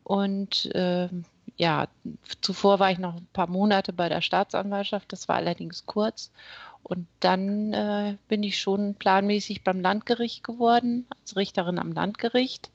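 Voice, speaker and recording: A middle-aged woman from northern Germany, a judge and court president, answering interview questions in a measured way with frequent hesitations. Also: medium pitch, fairly even intonation, dry recording, lo-fi sound. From East Frisia, Germany. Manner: measured, many hesitation sounds.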